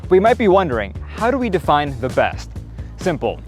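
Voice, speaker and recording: A young man hosting a vlog, narrating in an upbeat, joking way over soft music. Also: on the high side, wildly swooping pitch, moderate pace. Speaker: vlog host. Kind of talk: scripted narration and casual vlog chat.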